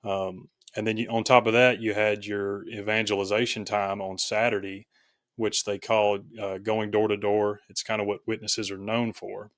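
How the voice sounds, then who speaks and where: American guy on a podcast, lowish voice, dry room, thinking out loud as he explains. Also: flat delivery, normal speed, no echo. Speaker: podcast guest, author. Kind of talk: conversational interview.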